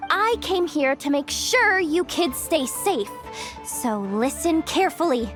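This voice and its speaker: A woman's voice: high voice, expressive, takes it slowly, fairly bright tone, exaggerated voice. A woman playing an earnest, overworked young woman manager in a video game, with a fretful delivery. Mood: concerned, firm. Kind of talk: animated game voice acting. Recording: music under the voice.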